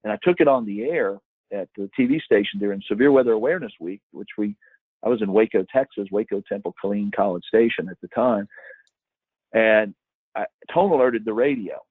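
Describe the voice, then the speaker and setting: A middle-aged man with a husky voice giving an informal, conversational webinar talk as presenter, explaining things and reminiscing. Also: lowish voice, fairly quick, no room sound. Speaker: webinar presenter, former broadcast meteorologist. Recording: muffled narrow phone-like line.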